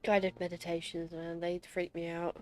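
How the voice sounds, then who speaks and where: A woman's voice: fairly low pitch, monotone, moderate pace. A woman streaming a game, giving casual, playful reactions and wry, jokey asides. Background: game music.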